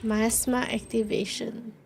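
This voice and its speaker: Slow, slightly creaky woman streamer. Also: fairly high pitch, little pitch movement, soft background music. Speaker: livestreamer playing a video game. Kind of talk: casual game stream chat, reading aloud.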